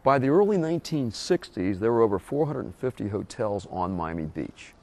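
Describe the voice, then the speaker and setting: A man guiding a walking tour and explaining local history in a measured, informative way. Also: on the low side, big pitch swings, a little mellow. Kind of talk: scripted walking-tour narration. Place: outdoors on a beach boardwalk, later a hotel lobby.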